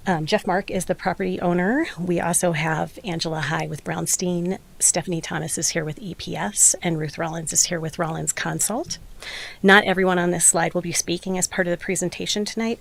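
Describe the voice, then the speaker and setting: Slightly hoarse woman giving a formal presentation. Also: on the low side. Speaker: development applicant presenting to a planning commission.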